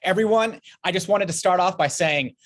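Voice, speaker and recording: A man's voice: high-pitched, steady tone, brisk. A man hosting an upbeat entertainment interview.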